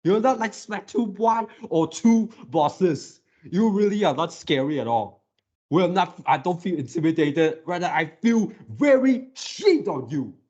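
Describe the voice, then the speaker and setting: Male fan commentator, mellow and unhurried, halting delivery that circles back on words. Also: sits high, expressive, muffled recording. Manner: halting, repeats words and searches for phrasing. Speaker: video creator reacting to a game series. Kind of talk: casual fan reaction commentary.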